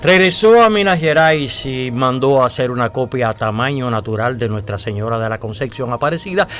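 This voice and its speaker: An actor in a radio drama playing a devout, talkative man who tells old secrets in a deliberate, dramatic way with hesitations. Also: medium pitch, a bit bright.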